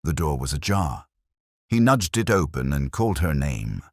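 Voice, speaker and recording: A man narrates a scripted detective story in a dramatic style. His voice is extremely low with animated rises and falls, recorded dry and close. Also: normal speed, no room sound.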